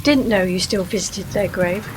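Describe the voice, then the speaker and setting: A woman's voice: medium pitch, moderate pace, heavy background noise, natural voice. A woman acting in a radio play as a wistful, reproachful old friend, plain-spoken and probing. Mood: subdued, probing.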